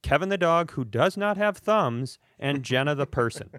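A man co-hosting a comedy podcast, telling stories in casual banter with animated, mock-serious, sweary rants. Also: fairly high pitch, normal speed, clear, resonant tone, dry recording, studio quality. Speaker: podcast co-host.

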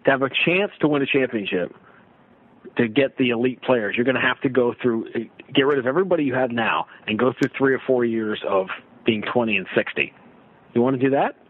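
A man talking sports casually as a broadcaster guest, telling stories and throwing out rhetorical questions in an animated way. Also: mid-range voice, brisk. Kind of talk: casual sports radio discussion. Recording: roomy, thin phone-like radio line.

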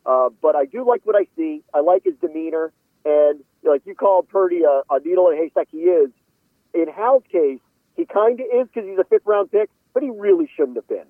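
A man's voice: fairly high pitch, no echo. A man talking casual sports as a radio guest analyst, emphatic and opinionated. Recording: lo-fi phone-like radio line.

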